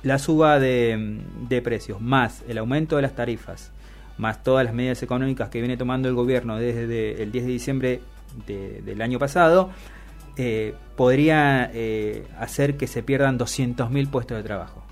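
A man with an Argentine accent hosting a radio show, commenting on the news in a halting, emphatic way with self-corrections. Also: mid-range voice, soft background music. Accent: Argentine Spanish. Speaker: radio host. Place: radio studio.

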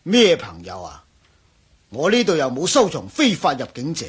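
An actor playing an indignant professor in a radio drama, huffy and exclaiming, with a Hong Kong accent. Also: male voice, on the high side, wildly swooping pitch, moderate pace, husky, full character voice. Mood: feigned bewilderment. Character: indignant male professor, held at gunpoint, protesting innocence. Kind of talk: Cantonese radio drama acting.

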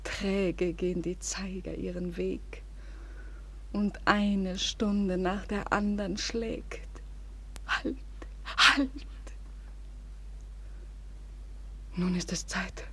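An actress playing a despairing young woman in a 1940s German radio drama, with slow, breathy, solemn declamation on a noisy old recording. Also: medium pitch, steady tone, a bit bright, exaggerated voice. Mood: anguished, visionary. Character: despairing young woman bidding farewell before suicide.

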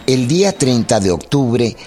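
A man giving measured, formal scripted narration for a historical radio drama. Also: medium pitch, sing-song, dark tone, faint music behind.

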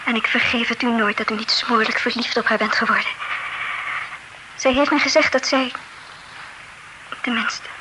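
A woman plays a young heiress in an old radio drama, earnest and dignified, her voice pitched a little high and often sinking to a near whisper. The old broadcast carries a steady hiss of noise behind her. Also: moderate pace, long pauses, natural voice. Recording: noisy old radio play recording.

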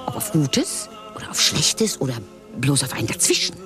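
An actress playing a wry, worldly woman who speaks calmly about fate and wishes, in a radio play. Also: sits low, normal speed. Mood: measured, wry. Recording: radio play with music behind.

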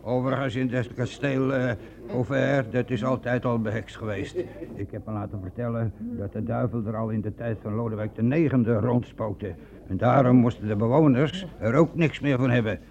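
Radio play casting: male actor as spooked veteran archer, medium-pitched with fry in the voice, stammering, insistent; muffled vintage recording. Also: fairly even intonation, normal speed, darkish tone, a little hiss or hum, natural voice. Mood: earnest, insistent. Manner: stammering, emphatic, repeats himself. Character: frightened veteran soldier, an archer, insisting on devilry. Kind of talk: Dutch radio play acting. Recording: dull lo-fi old radio recording.

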